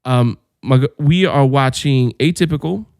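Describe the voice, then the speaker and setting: A man's voice: mid-range voice, moderate pace, gravelly, darkish tone, no room sound, studio quality. A young man co-hosting a podcast in casual chat, ranting and swearing freely and breaking into laughs mid-sentence. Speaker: podcast co-host.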